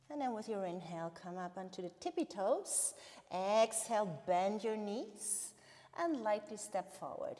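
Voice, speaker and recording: A woman from Switzerland with a Swiss accent, a native Bernese Swiss German speaker, leads a live yoga class with calm, step-by-step cues and breath prompts. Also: medium pitch. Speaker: yoga instructor. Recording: long reverberant hall-like echo.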